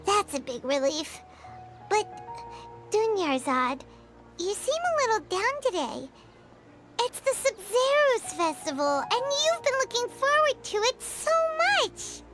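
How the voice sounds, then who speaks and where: A woman voicing a small, excitable, caring fairy companion in a video game, with a squeaky childlike voice and exclamatory third-person talk. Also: slow, heavily acted. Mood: concerned. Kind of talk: animated video game voice acting. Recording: loud music behind the voice.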